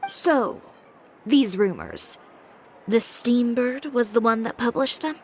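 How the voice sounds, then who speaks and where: A woman's voice: fairly high pitch, expressive, takes it slowly, darkish tone, a little hiss or hum. A woman playing a blunt, self-assured young fashion designer in a video game, composed and direct. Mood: brisk, dismissive.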